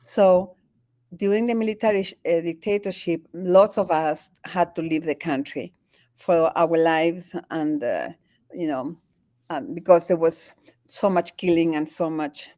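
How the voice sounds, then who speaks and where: A woman's voice: on the low side, dry recording. Older Chilean-accented woman, dry sound. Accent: Chilean Spanish. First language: Spanish. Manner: warm, halting, laughs often, many restarts. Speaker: guest, spiritual teacher. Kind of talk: conversational interview. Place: home in the Andes.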